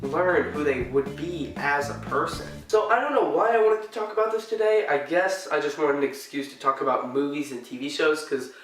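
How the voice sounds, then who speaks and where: A stuffy, congested man talks chattily and eagerly into the camera, with dry sarcastic asides. His somewhat high voice sits back from the mic in a very echoey room. Background music plays clearly under him. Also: lively intonation, normal speed, hardly any pauses, distant mic. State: stuffy, sick voice. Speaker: vlogger. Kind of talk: casual vlog commentary.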